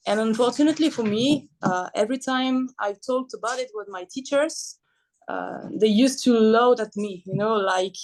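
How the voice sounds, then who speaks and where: A young woman from Belgium, a guest athlete telling her story in a casual, animated interview, laughing often. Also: a little high, low-quality recording. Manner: animated, laughs often, non-native phrasing.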